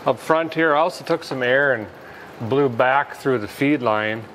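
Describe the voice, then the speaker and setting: A man in his thirties talking casually as he works, with a folksy, deadpan, rambling humor, as host of a garage repair vlog. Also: medium pitch, normal speed, low-quality recording. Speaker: host of a car repair show. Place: large auto repair garage with concrete floor. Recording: clear background noise, garage ambience.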